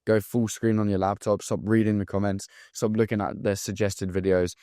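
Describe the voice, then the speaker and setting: A man in his twenties from England with a southern English accent, talking straight to camera as a sales coach in a blunt, profane, hyped-up motivational pitch. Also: level tone, moderate pace, warm tone, smooth, dry recording, clean studio sound. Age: 20s. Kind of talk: direct-to-camera pitch video.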